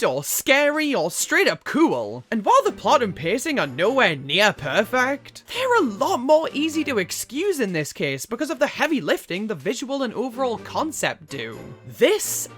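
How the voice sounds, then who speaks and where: A woman delivering scripted video essay commentary as a reviewer, opinionated and emphatic with dramatic pauses. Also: fairly high pitch. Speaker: video reviewer, channel host.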